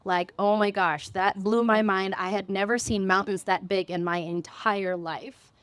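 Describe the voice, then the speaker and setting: A woman's voice: medium pitch, fairly even intonation, unhurried, fairly bright tone, clear, resonant tone, dry recording. A woman from the Philippines, a guest on a live panel, talking with bubbly enthusiasm. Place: cafe event stage.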